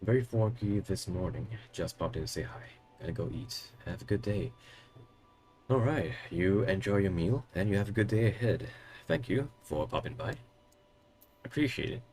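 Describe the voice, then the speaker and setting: Male streamer, very low and coarse, hoarse from a cough, friendly chat with viewers. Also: expressive, normal speed, darkish tone. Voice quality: coarse, strained voice. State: hoarse, losing his voice from a cough. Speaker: game streamer.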